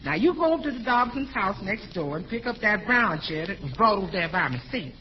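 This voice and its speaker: An actress playing a good-humored, wisecracking housekeeper in a 1940s radio comedy. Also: female voice, mid-range voice, very animated, fairly quick, lightly acted. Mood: brisk. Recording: muffled, hissy old radio recording.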